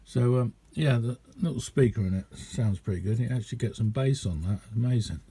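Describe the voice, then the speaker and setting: A man giving a casual, rambling hands-on demonstration as a radio hobbyist, chatty and hesitant in an unhurried way. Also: medium pitch, mellow, breathy edge.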